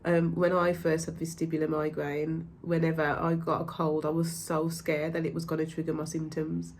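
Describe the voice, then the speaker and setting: A woman's voice: low voice, monotone, normal speed, slight background noise. A woman, stuffed up with a cold, talking casually and reassuringly as a mind-body coach, with many ums. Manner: gentle, reassuring, rambling with frequent ums. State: congested from a cold. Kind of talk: casual talk to camera.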